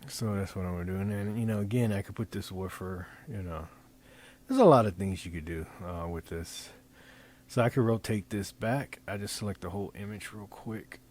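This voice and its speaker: Low-voiced man giving an informal software tutorial. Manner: halting, thinking aloud with repeated phrases. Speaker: presenter demonstrating design software. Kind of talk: informal screen-recording tutorial, improvised.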